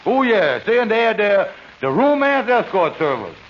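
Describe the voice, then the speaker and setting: An actor playing a scheming, smooth-talking con man hatching money plans, on a vintage radio comedy. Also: high-pitched, big pitch swings. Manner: fast-talking, wheedling, hesitant uhs. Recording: muffled old radio broadcast, faint hiss.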